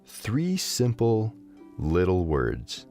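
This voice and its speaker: A man's voice: sits low, huge pitch swings, very slow, a little mellow, soft background music. A man narrating a scripted story as the narrator of an audio drama.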